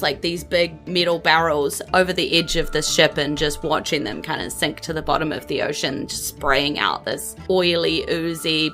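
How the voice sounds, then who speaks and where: A woman's voice: deep voice, pushed and bright. A woman narrating a tabletop game as its host, in an informal, conversational, descriptive way. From New Zealand. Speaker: game master and podcast host.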